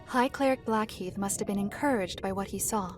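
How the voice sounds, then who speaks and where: A woman reading scripted story narration for a dark fantasy tale. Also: mid-range voice, fairly quick, soft background music. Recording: clear background ambience noise.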